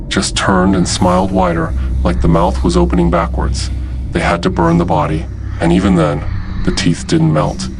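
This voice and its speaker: A man playing a grave military announcer, reading scripted horror narration as an emergency broadcast in a solemn, deliberate, ominous way. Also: on the low side, background noise. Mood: grave, warning. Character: grave male military emergency announcer warning of uncanny threat. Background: music.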